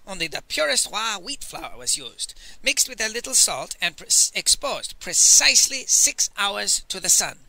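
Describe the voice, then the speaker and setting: Man playing an irascible, theatrical old sage, high and forceful. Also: sing-song, moderate pace, creaky, hardly any pauses, no room sound, exaggerated voice, rough recording. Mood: angry. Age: older. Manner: indignant, haughty, scolding.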